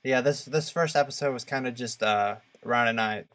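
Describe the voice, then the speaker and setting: A man co-hosting a podcast, speaking casually and haltingly as he searches for his words. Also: medium pitch, a bit bright. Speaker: podcast co-host. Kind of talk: casual discussion of video games.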